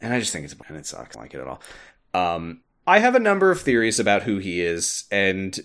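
Lowish voiced guy hosting a podcast, nerdy fan chat, casual but emphatic. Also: huge pitch swings, a little fast, fairly bright tone. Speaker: podcast host. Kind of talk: casual podcast chat about video game lore.

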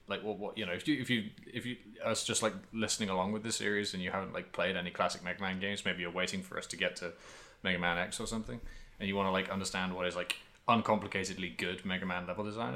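A man's voice: low voice, steady tone, quick pace, bright, forceful tone. A man hosting a casual video game podcast, talking in rambling riffs with some swearing. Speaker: podcast host.

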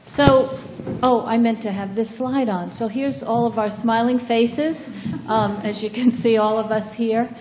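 A woman's voice: fairly high pitch, fairly even intonation, a little slow, background noise. Woman presenting in a reverberant lecture hall. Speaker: nursing school dean introducing a panel. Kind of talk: panel introduction and short talk. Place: large lecture room.

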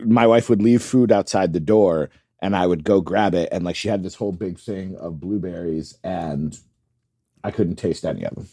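A man co-hosting a casual podcast, chatty and curious, asking lots of questions and filling in with quick replies. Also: low voice, mellow, slightly rough, no room sound, studio quality. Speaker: podcast co-host. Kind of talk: casual chat.

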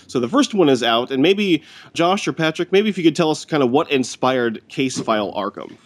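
A man's voice: fairly high pitch, lively intonation, normal speed. Enthusiastic male podcast host. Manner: enthusiastic, praising, guiding questions. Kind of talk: podcast interview.

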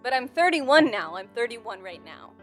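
A woman in her thirties with a fairly high voice chats casually over background music. She is expressive and often voices her own thoughts as quotes. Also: normal speed. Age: 30s. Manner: chatty, expressive, quotes herself. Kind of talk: casual group chat. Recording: music behind the talk.

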